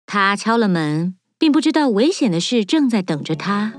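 A woman's voice: medium pitch, sing-song, normal speed. A woman reading scripted fairy-tale narration for children as a storyteller. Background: music.

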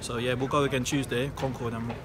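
A man's voice: medium pitch, flat delivery, crowd noise. A young man with an English accent, a football player answering post-match questions casually outdoors at night. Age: young adult. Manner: casual, quick, lots of fillers.

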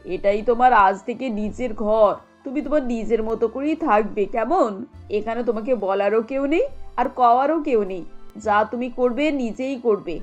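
Lady doing a kindly chatty cartoon mother in law, slightly high voice, faint music. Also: moderate pace, natural voice. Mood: welcoming. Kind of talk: cartoon dialogue.

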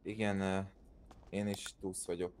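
A man with a fairly low voice talks casually in a gaming session. His mic carries clear noise. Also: level tone. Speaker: player in a role-play game. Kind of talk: casual chat during online gameplay. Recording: noisy game voice chat.

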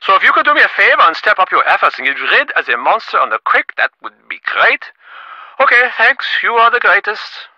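A man's voice: high-pitched, very animated, fairly quick, no echo, dull audio. A man voicing a smug, impatient rich businessman in a video game, glib and self-important, with stammering, sarcastic delivery. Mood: breezy, dismissive. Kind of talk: acted game dialogue.

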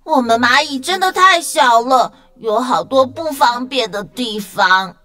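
A woman's voice: sits high, lively intonation, measured pace, sharp tone, dry recording, exaggerated voice. A woman voicing an eager, inventive young ant child in a children's story. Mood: wistful.

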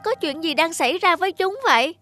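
A woman voicing a curious, naive young sprite child, earnest and respectful, in an animated fable. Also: very high voice, a little fast, faint music behind. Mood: puzzled.

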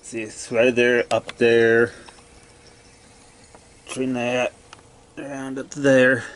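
A man's voice: medium pitch, flat delivery, slow pace. A man giving a casual hands-on equipment walkthrough in short, clipped, matter-of-fact phrases, recorded indoors on a handheld camera. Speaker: gadget demonstrator. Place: indoors, cluttered home room.

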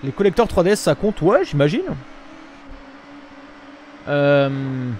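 A man's voice: a little high, sing-song, slow pace, a little mellow. A young man chatting casually on a live stream from his room, rambling with lots of fillers. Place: home room with webcam. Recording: noisy stream with game sound.

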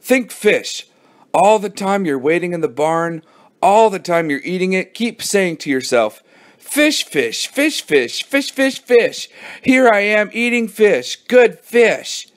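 An encouraging, eager schoolboy, acted by a man in a children's audiobook. He coaxes insistently in a voice pitched well up. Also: lively intonation, a little slow. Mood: coaxing, eager. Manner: insistent, coaxing. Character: eager, encouraging boy coaxing his friend.

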